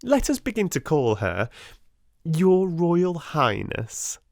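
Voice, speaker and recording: A man's voice: on the high side, wildly swooping pitch, takes it slowly, vocal fry, a little mellow, no echo, studio quality, pitched above the performer's own voice, full character voice. A man voicing a spiteful, haughty schoolgirl in a storybook, with a scornful, snippy manner. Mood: mocking.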